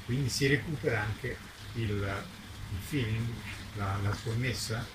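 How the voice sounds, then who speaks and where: A man speaking informally at a presentation, halting at times and listing points with emphasis. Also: fairly low pitch. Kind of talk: informal talk at a book presentation. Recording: roomy, distant mic, clear background noise.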